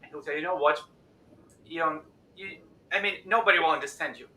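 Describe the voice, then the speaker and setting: Bright-voiced non-native man, echoey room. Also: a little high. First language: not English. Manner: halting, many uh fillers. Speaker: host. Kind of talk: live stream discussion. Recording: echoey room with faint noise.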